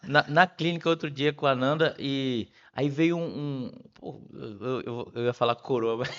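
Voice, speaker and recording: A middle-aged man with a Bahian Brazilian accent telling stories casually as a podcast guest, often pausing and repeating words as he thinks. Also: mid-range voice, muffled recording. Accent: Bahian Brazilian Portuguese. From Salvador, Bahia, Brazil. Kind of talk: casual conversational storytelling.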